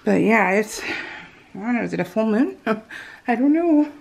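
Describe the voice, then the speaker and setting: An American woman chatting casually as she narrates a craft project, rambling and giggly, with slightly unusual soft R sounds. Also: on the high side, very animated, moderate pace, vocal fry. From California, US. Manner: giggly, rambling, self-interrupting. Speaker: craft vlogger. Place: indoors at home, at a craft table.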